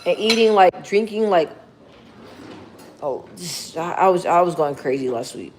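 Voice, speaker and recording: A woman with a deep, bassy voice, casually chatting with viewers on a livestream. She rambles, reads comments aloud and swears freely. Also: animated, measured pace, noisy background. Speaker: livestream host.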